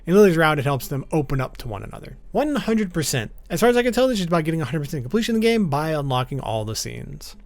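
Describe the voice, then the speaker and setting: A man's voice: fairly high pitch, sing-song, quick pace, creaky, few pauses, no room sound. A man narrating an explainer video about a visual novel.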